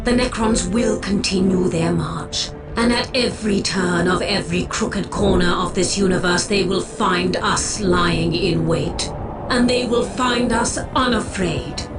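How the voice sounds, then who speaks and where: A woman's voice: mid-range voice, a little slow, music in the background, natural voice. An actress playing a proud female alien elf seer and leader in a video game, speaking in a solemn, formal, commanding manner. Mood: proud resolve. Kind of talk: dramatic video game acting.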